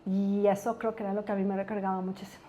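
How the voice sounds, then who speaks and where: A woman's voice: mid-range voice, fast, a little hiss or hum. Ecuadorian woman telling family stories. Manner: relaxed, anecdotal, thinks aloud. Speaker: guest, company executive. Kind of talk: casual interview storytelling.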